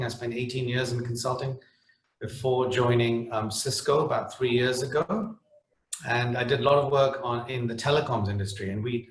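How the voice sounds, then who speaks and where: A man's voice: medium pitch, roomy sound, low-quality recording. A middle-aged man from the Netherlands speaking on a webinar panel, hesitant, with many restarts and fillers.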